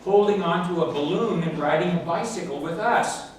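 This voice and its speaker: A man's voice: high-pitched, moderate pace, voice pushed up, close to an everyday voice. A man voicing a know-it-all male circus bear who explains things in a matter-of-fact way. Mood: explaining. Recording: echoey room from far off, faint hiss.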